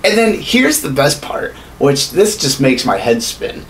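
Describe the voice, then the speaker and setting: A man's voice: medium pitch, a little slow. A man in his thirties ranting casually as a podcast co-host, profane, exasperated and sarcastic. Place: small home studio room. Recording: noisy room, steady background ambience.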